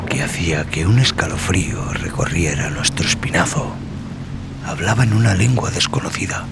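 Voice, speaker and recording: A man narrates a horror story in a deep voice. He is grave and unhurried, his phrase endings often sinking into a breathy hush. Loud music and rain run behind him. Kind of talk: scripted horror narration in the first person.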